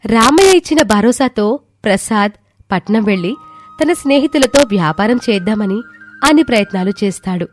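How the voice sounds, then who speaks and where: A woman's voice: mid-range voice, animated, normal speed, soft background music. A woman storyteller reading a scripted moral tale as narration.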